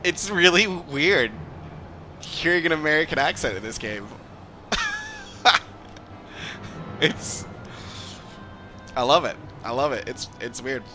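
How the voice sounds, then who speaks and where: Very slow, halting male game streamer. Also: on the high side, sharp tone, faint music behind. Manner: halting, amused, repeats himself. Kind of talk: casual live playthrough commentary.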